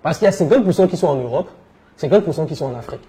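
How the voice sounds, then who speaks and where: A man with a West African French accent, answering conversationally as an interview guest, telling his experience in an animated way. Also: fairly high pitch, very fast. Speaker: interview guest, entrepreneur and coach.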